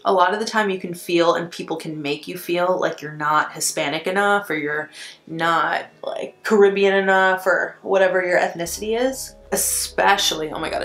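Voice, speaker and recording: A young woman chats away on camera as she does her makeup, her voice slightly low for a woman and full of "like" and easy profanity. The room around her is echoey, with faint music playing underneath. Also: fairly bright tone. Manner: chatty, profane, says "like" constantly. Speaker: beauty vlogger. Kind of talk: casual chat while doing makeup. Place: at home indoors. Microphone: camera mic.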